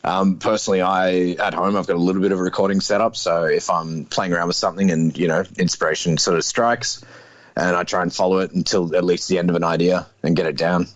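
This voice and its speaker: Deep-voiced man in his thirties. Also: fairly even intonation, fast, dry recording, highs cut off. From Australia. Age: 30s. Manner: laid-back, hedging with many fillers. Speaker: guest musician. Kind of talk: casual interview chat.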